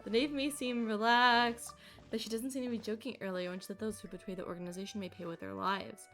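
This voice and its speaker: A woman's voice: fairly high pitch, steady tone, fast talker, fairly bright tone. Casual woman livestreamer over game music. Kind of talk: casual livestream commentary and reading aloud. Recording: music behind the voice.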